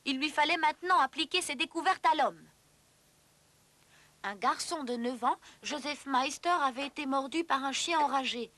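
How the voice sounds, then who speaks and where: A woman's voice: high voice, moderate pace, fairly bright tone. A woman reading scripted narration for an educational cartoon in an explanatory, storytelling manner.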